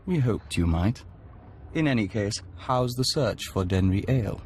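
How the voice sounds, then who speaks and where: An actor playing a courteous, measured hive member who speaks as a collective in a video game. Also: male voice, on the low side, expressive, darkish tone, slight background noise. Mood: serene, polite. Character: calm courteous insectoid hive-joiner spokesman, man. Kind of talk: scripted video game acting.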